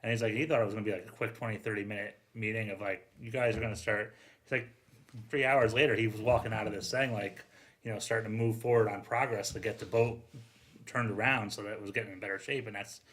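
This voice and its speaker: Low-voiced man chatting informally. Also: fairly even intonation, fast talker, fairly bright tone. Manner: informal, frequent "like", self-interrupting. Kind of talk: casual conversational storytelling.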